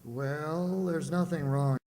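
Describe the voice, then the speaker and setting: An actor playing a wry, teasing family doctor in an old-time radio comedy. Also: male voice, a little high, fairly even intonation, takes it slowly, darkish tone. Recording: echoey hall, faint hum.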